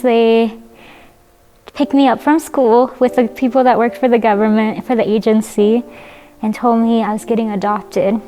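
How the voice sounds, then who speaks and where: A woman's voice: on the high side, a little mellow, rough recording. Twenties woman recalling her childhood. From China. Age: 20s. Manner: halting, many fillers. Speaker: interviewee. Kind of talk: personal testimony.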